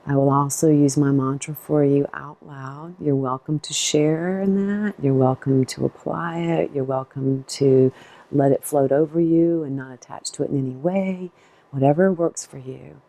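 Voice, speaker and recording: Female meditation teacher, notably low and warm tone, gentle off-the-cuff instruction, reassuring delivery. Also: fairly even intonation, normal speed.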